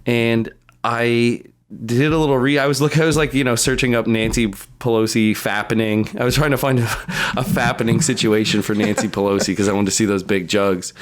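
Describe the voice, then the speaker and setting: A man's voice: medium pitch, fairly even intonation, normal speed. A man in his early thirties chatting casually as a podcast co-host, telling joking anecdotes in slangy, swear-heavy language.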